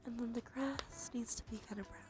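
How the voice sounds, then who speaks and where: A woman's voice: on the high side, fairly even intonation, a little fast. A woman livestreamer giving whispered ASMR commentary on a game, murmuring as she thinks aloud. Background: music.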